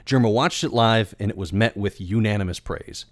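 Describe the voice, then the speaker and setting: Lowish voiced guy telling a scripted story, kinda theatrical and wordy, super dry studio sound. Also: moderate pace, dry recording. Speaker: video creator and voice actor.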